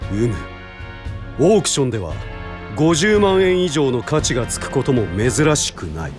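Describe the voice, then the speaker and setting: A man's voice: medium pitch, big pitch swings, over music, close to an everyday voice. A man playing an authoritative prosecutor in an audio drama, speaking formally with slyly knowing composure. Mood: formal, composed. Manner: formal, measured, lofty. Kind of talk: Japanese audio drama acting.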